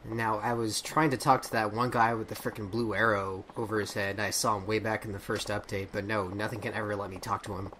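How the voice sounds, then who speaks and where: A man casually commentating on a video game he recorded, relaxed and rambling. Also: fairly low pitch, brisk, hardly any pauses, slight background noise. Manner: relaxed, rambling, trails off and restarts. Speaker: let's play host.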